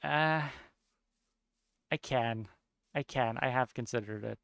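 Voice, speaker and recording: A young man with a Norwegian accent, chatting casually while streaming a game, somewhat monotone and mumbling with unclear diction. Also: mid-range voice, takes it slowly, creaky, smooth, no echo, clean studio sound. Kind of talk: casual chat while gaming.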